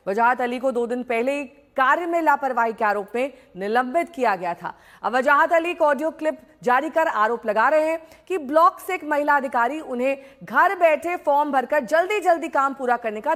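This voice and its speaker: A woman's voice: high voice, a bit bright. A woman presenting television news as the anchor. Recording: music bed behind broadcast voice.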